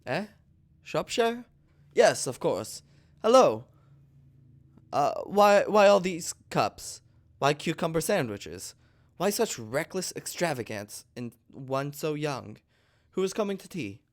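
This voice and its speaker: A man reading the part of an earnest, easily exasperated upper-class gentleman in love, in a theatrical, lofty manner for a stage comedy. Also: a little high, sing-song, slow, pauses often. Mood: flustered.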